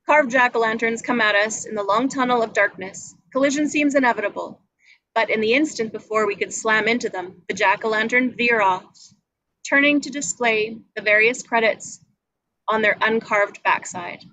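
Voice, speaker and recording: A woman's voice: a little high, normal speed, sharp tone, dry recording, poor audio. A woman reading screenplay action descriptions aloud as scripted narration at a table read. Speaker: stage directions reader. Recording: noticeable background noise.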